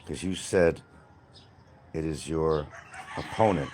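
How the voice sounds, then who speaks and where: A man's voice: rumbling low, takes it slowly, a little mellow, slight background noise. A man hosting a rambling livestream outdoors by a field, answering comments in a blunt, combative way. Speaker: livestream host.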